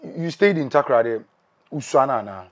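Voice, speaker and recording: A man's voice: mid-range voice, animated, a little fast. A young Ghanaian man hosting a casual interview, playful and bantering, slipping into pidgin. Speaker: interview host. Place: small recording studio indoors.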